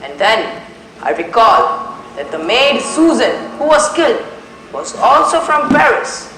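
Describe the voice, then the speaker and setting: A young performer in a school play acting as a male detective, declaiming with deliberate, dramatic pauses. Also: mid-range voice, very animated, fairly bright tone, a little hiss or hum. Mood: revealing. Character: theatrical male detective solving a murder. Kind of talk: amateur school stage play monologue. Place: school stage. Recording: echoey stage recording with music behind.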